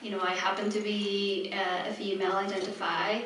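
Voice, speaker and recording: A woman from Mexico with a Mexican accent, a native Spanish speaker on a panel, speaking earnestly with many pauses and ums. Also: medium pitch, flat delivery, a bit bright. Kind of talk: earnest personal account on a panel. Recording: echoey hall, far from the mic, faint noise.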